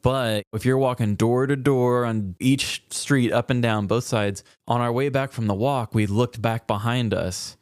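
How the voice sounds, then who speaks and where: A man co-hosts a podcast and tells stories with animated, self-deprecating humor. His voice sits mid-range and the sound is close and dry. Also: mid-range voice, measured pace, few pauses, clear, resonant tone, dry recording. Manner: animated, self-mocking storyteller. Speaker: podcast co-host. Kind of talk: casual comedic storytelling.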